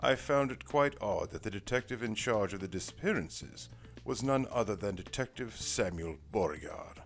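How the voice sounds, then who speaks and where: A man's voice: lowish voice, expressive, moderate pace, vocal fry. A man playing a formal, dry, weary older private investigator in an audio drama, speaking in precise, wordy sentences. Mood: measured, businesslike. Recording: music behind the voice.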